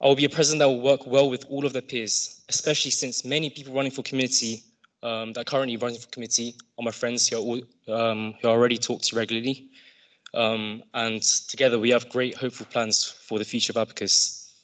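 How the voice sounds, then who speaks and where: A young man reads a prepared campaign speech from notes at a lectern microphone. His voice sits at an ordinary male pitch, and he keeps a steady pace with a few restarts. The sound has the open feel of a large lecture hall. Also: fast talker. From London, England. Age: young adult. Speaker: student society presidential candidate.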